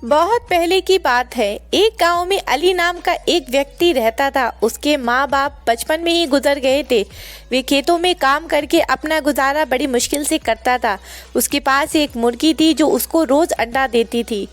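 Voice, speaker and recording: A woman's voice: high voice, normal speed. A woman narrating a moral story for children as a scripted reading, hosting her own storytelling channel. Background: background music.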